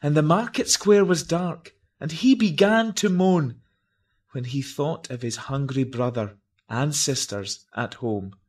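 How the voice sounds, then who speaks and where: A man's voice: fairly high pitch, unhurried. A man giving a theatrical, declamatory recital of a narrative poem with melodramatic delivery. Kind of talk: theatrical dramatic verse recital.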